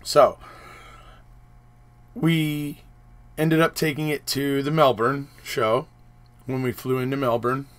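A slightly hoarse man in his forties, a channel host, rambling casually through a trip recap with many fillers, filmed on a webcam in his home office. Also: mid-range voice, little pitch movement, slow pace, long pauses. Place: home office room with posters.

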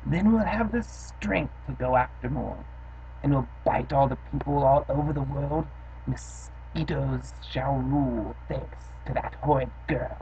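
A man voicing a scheming, hungry female mosquito queen in a read-aloud storybook poem, drawing out his words in a slow, menacing way. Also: mid-range voice, vocal fry, dark tone. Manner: slow, drawn-out menacing delivery. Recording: dull lo-fi webcam sound with faint hiss.

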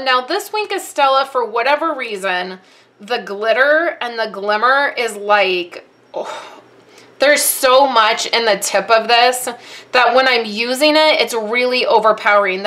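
A woman's voice: a little high, pushed and bright. A woman giving a casual step-by-step card-making tutorial, chatting through each step as she works at her craft desk.

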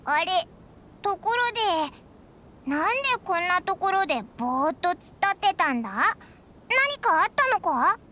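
A woman's voice: very high voice, sing-song, unhurried, sharp tone, full character voice. A woman voicing a tiny childlike fairy companion in an anime game, chatty and blunt with boyish casual speech. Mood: curious. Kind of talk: anime video game dialogue.

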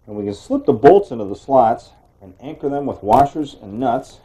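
A man hosting a home improvement show, giving plain, steady step-by-step instructions as he demonstrates the work. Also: mid-range voice, moderate pace, husky, poor audio.

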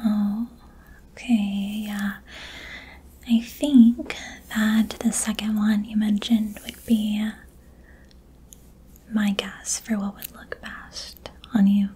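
A young woman whispering softly and gently asking questions as a barber in an ASMR haircut roleplay, recorded close to the mic in a small room. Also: mid-range voice, little pitch movement, slow pace, pauses often, husky. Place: small decorated indoor room. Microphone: close studio mic in front.

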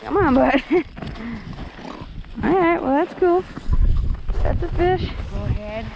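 A young man vlogging while ice fishing on a frozen lake, giving excited, slangy running commentary and talking to the fish. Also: extremely high pitch, slow pace, gravelly, muffled recording. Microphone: head-mounted action camera. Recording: outdoor wind and ice noise.

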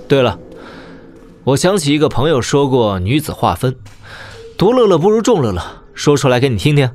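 A man's voice: medium pitch, natural voice. A man playing a wry, easygoing young noble swordsman in a martial-arts audio drama, chatting in a teasing, conversational way.

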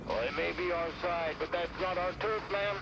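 A man's voice: sits high, moderate pace, creaky, noisy background. An actor playing a grim, dutiful male soldier in a video game, reporting formally and firmly. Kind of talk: video game cutscene acting.

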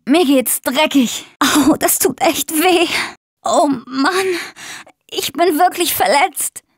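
A woman's voice: high-pitched, slow, dry recording, studio quality. An actress playing a tough, sarcastic young woman surviving a zombie apocalypse in a dubbed video game. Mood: hurt, worn down. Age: young adult.

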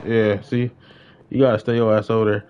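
A young adult man chatting casually as he streams a game, slangy and excitable, often repeating his phrases. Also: lowish voice, brisk, smooth, highs cut off. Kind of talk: casual livestream gaming chat.

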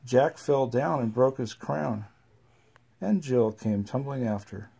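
A parent with a relaxed, sing-song way of reading nursery rhymes aloud and chatting with a small child at home. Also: mid-range voice, slow pace, gravelly, breathy edge.

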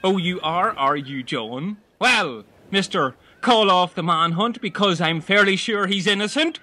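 Comic audio drama: paranoid, bossy castaway sleuth played by a man, high and piercingly bright. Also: expressive, measured pace, heavily acted. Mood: accusing. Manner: bossy, insistent, over-dramatic. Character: paranoid, self-appointed amateur detective castaway man, hot-headed and accusing.

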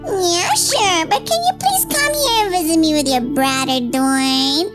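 A woman voicing a sweet, doting, lonely old grandmother in an animated roleplay story, cooing and drawing out her exclamations. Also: very high voice, measured pace, over music. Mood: eager, fond.

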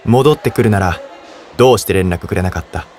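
An actor playing a serious, self-assured, studious teenage schoolboy in a voice drama, speaking tersely and directly. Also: male voice, deep voice, a little fast, darkish tone, soft background music, natural voice. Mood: grave. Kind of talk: anime-style voice drama acting.

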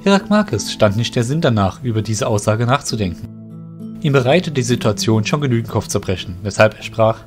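A man's voice: mid-range voice, lively intonation, fairly quick. A man reading scripted narration for a fantasy audio drama as its storyteller. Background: music.

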